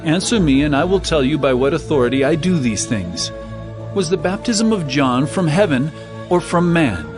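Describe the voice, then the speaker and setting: An actor playing Jesus as a calm, authoritative teacher in a dramatized scripture reading, measured and solemn. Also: male voice, on the high side, over music.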